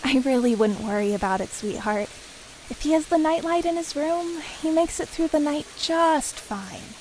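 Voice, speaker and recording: A woman's voice: sits high, lively intonation, moderate pace, darkish tone, slight background noise, rough recording. A woman playing an assured wife and mother in a horror audio drama, soothing yet firmly dismissive. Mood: reassuring.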